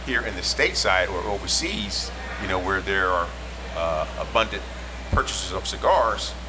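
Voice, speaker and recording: Low-voiced male podcast guest, emphatic call to action; noisy lounge recording with vehicles passing. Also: animated, moderate pace. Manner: emphatic, urging. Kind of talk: casual roundtable chat. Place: cigar lounge.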